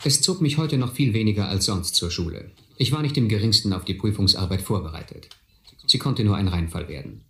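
A man's voice: fairly low pitch, expressive, brisk, a bit bright. An actor playing a reflective man who narrates his boyhood adventures in a radio play. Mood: reflective, yearning. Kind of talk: scripted first-person radio play narration. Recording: echoey, slightly noisy audio drama recording.

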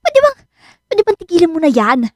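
An actress playing a blunt, exasperated best friend in a radio drama, with a Filipino accent. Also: female voice, very high voice, huge pitch swings, fairly quick, darkish tone, dry recording, studio quality, heavily acted.

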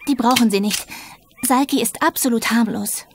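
Actress as an uneasy, higher-voiced female carer. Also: normal speed, noisy background, close to an everyday voice. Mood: anxious. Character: uneasy, defensive female carer of an old man. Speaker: actor in an audio drama. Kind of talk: German crime audio drama acting.

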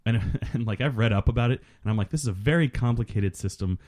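A man's voice: medium pitch, fast talker, darkish tone. A man chatting casually on a film commentary podcast, explaining things in a rambling, geeky way.

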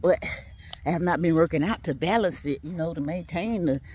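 A woman's voice: deep voice, a little fast, darkish tone, slightly rough. A woman chatting casually to her viewers while she walks on an outdoor track, laughing often and talking straight to them. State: walking outdoors, a bit tired. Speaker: vlogger.

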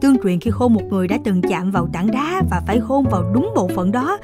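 A man's voice: sits high, animated, fast talker. A man voicing a sly, coaxing, flirtatious tour guide in a cartoon. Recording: music behind the voice.

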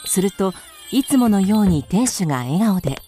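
A woman's voice: mid-range voice, lively intonation, moderate pace, over music. A woman narrating a radio drama from a script as its storyteller.

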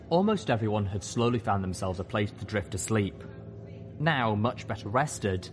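A man's voice: lowish voice, slight background noise. A man reading a scripted first-person story as its narrator. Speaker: narrator and host.

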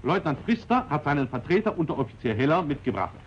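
A man's voice: a little high, sing-song. Man narrating an old training film. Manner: measured, instructive delivery. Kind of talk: formal documentary narration. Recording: dull 1940s film soundtrack with faint hiss.

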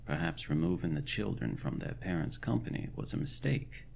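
Man playing very deep, gravelly 1920s country doctor. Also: very animated, a little mellow, slight background noise, exaggerated voice. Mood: reflective. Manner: formal, ornate, measured old-fashioned diction. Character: fussy, caring early 1920s male country doctor. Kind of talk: scripted journal narration, horror audio drama.

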